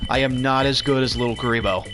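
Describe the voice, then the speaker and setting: A man's voice: medium pitch, steady tone, quick pace, over music. A man giving jokey, self-deprecating commentary while playing a game. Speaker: streamer. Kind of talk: casual commentary over a game.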